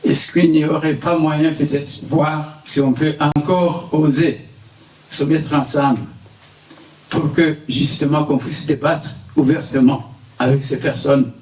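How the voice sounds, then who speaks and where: A man's voice: fairly high pitch, flat delivery, measured pace. A man from Burundi speaking at a press conference, earnest and hesitant with many fillers. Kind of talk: unscripted remarks at a press conference. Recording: echoey room, distant mic, faint hiss.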